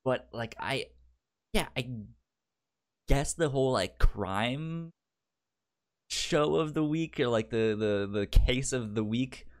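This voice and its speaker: Male podcast co-host, medium pitch with lively swings, casual, halting delivery. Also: clean tone. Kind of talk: casual conversational TV review.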